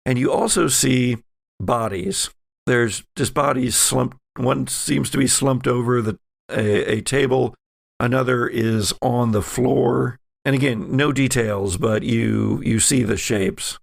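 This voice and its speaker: A man runs a tabletop horror game as game master, his voice creaky and closely recorded with a dry sound. He sets out each scene in a halting, deliberate manner. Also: medium pitch, steady tone, dry recording.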